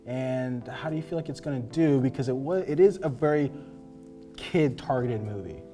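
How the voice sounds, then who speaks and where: A man hosts a casual group discussion with music behind him. His pitch sits in the middle range, and he gets animated when he brings in a new topic. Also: normal speed. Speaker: host. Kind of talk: hosted round-table discussion.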